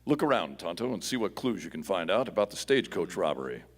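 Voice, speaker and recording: An actor playing a steadfast, commanding masked Western hero in a live radio play, with formal, resolute phrasing. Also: male voice, deep voice, sing-song, quick pace, in a large hall, full character voice. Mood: confident.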